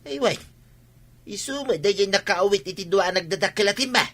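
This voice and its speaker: A man's voice: high voice, lively intonation, moderate pace, pushed and bright, exaggerated voice. A man acting a stubborn, grumbling villager in an Ilocano comedy radio drama, complaining and insistent.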